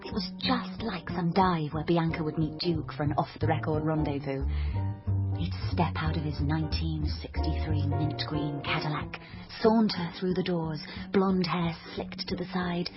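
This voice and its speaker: A woman's voice: sits low, steady tone, normal speed, lightly acted. An actress playing a naive, daydreaming young woman, earnest, wry and chatty, in a radio drama. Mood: dreamy, confiding. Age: young adult. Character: naive, daydreaming young dropout woman, crime-fiction fan turned sleuth. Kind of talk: radio drama acting with confiding narration. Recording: muffled audio under loud music.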